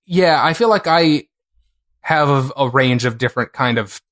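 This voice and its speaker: Podcast cohost guy, kinda rambly and halting, keeps restarting, avg voice. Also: mid-range voice, a bit bright. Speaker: podcast co-host. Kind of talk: casual chat.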